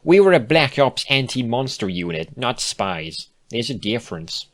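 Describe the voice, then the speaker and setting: A man voicing an excitable, teasing female pony in a squealing, singsong, exclamatory manner. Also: mid-range voice, normal speed, voice pushed up. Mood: mock indignant.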